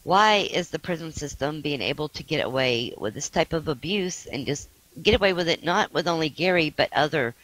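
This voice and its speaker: A hoarse middle-aged woman making an earnest, indignant spoken appeal for support on behalf of a family member. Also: deep voice, lively intonation, normal speed, fairly bright tone, poor audio.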